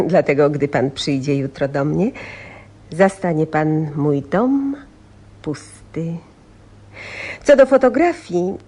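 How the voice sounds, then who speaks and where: An actress playing a clever, proud woman who voices a letter in a radio play, composed and measured. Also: fairly low pitch, darkish tone. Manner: measured, self-possessed. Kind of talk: Polish radio play acting, letter voice-over.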